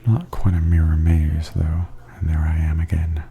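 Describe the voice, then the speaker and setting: A man giving softly spoken ASMR tour commentary in a breathy half-whisper, calmly and gently describing things as a host. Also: very deep voice. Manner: calm, unhurried, gently descriptive.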